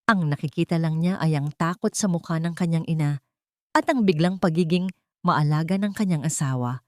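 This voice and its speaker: A woman's voice: sits low, moderate pace, no echo, studio quality. A woman narrating a scripted drama story in a dramatic storytelling style.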